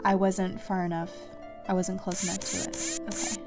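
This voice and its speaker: A woman's voice: medium pitch, steady tone, normal speed. Woman thinking aloud while gaming, music behind. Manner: hesitant, self-questioning muttering. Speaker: video game streamer playing a puzzle adventure. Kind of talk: casual gameplay commentary, thinking aloud.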